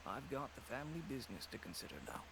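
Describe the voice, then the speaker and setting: An actor playing a cautious, pragmatic middle-aged shop owner and father who speaks in a measured, advising way. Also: mid-range voice, expressive, vocal fry, a crowd in the background, low-quality recording. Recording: game audio with background ambience.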